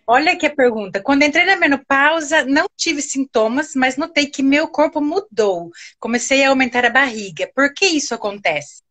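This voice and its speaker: Female live-stream host, Brazilian accent, slightly high voice, conversational delivery. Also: lively intonation, moderate pace, fairly bright tone. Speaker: host and committee co-leader.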